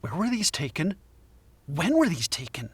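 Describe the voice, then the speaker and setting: An actor playing a defensive, fussy anthropology professor in an audio drama, curt and indignant, stammering when flustered. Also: male voice, on the high side, wildly swooping pitch, normal speed, breathy edge, no room sound, heavily acted. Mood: puzzled, fascinated.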